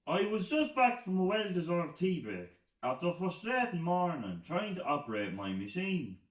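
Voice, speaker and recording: A man's voice: sits high, sing-song, moderate pace. A man playing a disgruntled, hungover Irish factory worker in a radio play, grumbling and swearing emphatically. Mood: aggrieved recounting. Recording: roomy, distant from the mic.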